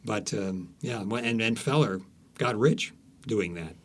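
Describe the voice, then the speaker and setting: Low-pitched man telling stories, haltingly. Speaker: author interviewed as guest. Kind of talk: conversational interview storytelling.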